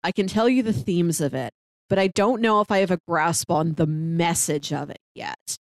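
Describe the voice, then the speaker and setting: A woman's voice: lowish voice, moderate pace, no echo. A woman co-hosting a casual TV review chat, thinking aloud and restarting her phrases as she goes. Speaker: podcast co-host.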